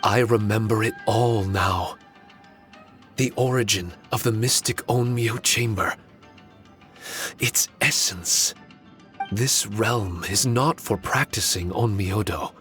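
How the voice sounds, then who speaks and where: A man voicing a courteous, solemn ancient guardian spirit in an anime game, speaking formally and in a measured way. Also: fairly low pitch, fairly bright tone, faint music behind. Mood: solemn, reflective.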